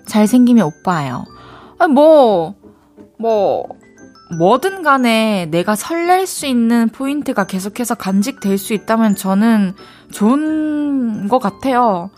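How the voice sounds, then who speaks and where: A young woman with a Daegu accent, hosting a radio show and chatting casually as she reads out listener letters. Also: medium pitch. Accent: Daegu Gyeongsang Korean. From Daegu, South Korea. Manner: chatty, playful, thinks aloud.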